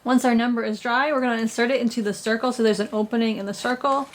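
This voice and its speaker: A woman's voice: on the high side, fairly even intonation, quick pace. A woman who is getting over a cold, walking through a paper craft tutorial with plain, patient, step-by-step instructions. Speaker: craft blogger.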